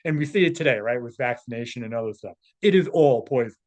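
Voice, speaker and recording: A man's voice: medium pitch, animated, fast talker, a little mellow. A man giving casual, scornful spoken commentary as he reads through a text, with sarcastic asides. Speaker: commentator, presenter.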